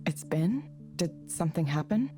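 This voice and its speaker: Low, mellow, reserved counsellor. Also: female voice, faint music behind. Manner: calm, measured, understated. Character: reserved, soft-spoken woman working as counselling proxy. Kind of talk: visual novel voice acting.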